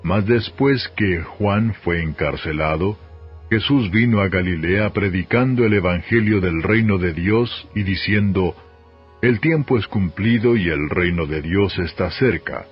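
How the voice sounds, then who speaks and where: A man with a Castilian Spanish accent reads scripture as a solemn, measured, formal narration. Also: deep voice, husky. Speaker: Bible reader. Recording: dull lo-fi audio, music behind. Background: music.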